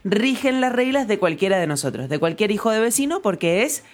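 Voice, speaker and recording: A woman with a Mendoza Argentine accent hosting a radio talk show, explaining briskly with jokes. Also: medium pitch, very animated. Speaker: radio host.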